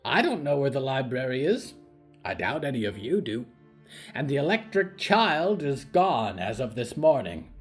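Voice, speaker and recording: A man's voice: medium pitch, very animated, normal speed, soft background music, natural voice. A man voicing an imperious, snappish old grandmother in an audio drama, indignant and cutting in manner. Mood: challenging. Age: older. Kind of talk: audio fiction story acting.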